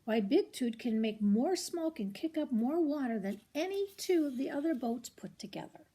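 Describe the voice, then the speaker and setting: An older woman reads a children's storybook aloud in an expressive, grandmotherly way, with short asides to the listener. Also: high voice, moderate pace, slightly rough. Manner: expressive, grandmotherly, commenting on the pictures.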